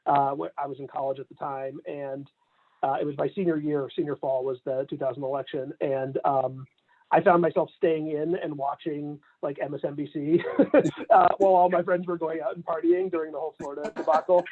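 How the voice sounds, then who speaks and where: A man's voice: fairly high pitch, brisk, phone-quality sound. A man around forty, a campaign manager, talking casually in a remote interview, laughing often between his points. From Canada, raised in Atlanta. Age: 40s. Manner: relaxed, laughs often, many fillers.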